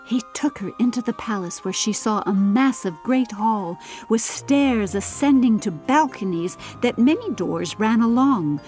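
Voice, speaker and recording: Slow-paced woman narrating a storybook. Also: a little high, expressive, a little mellow. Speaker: audiobook narrator. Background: music.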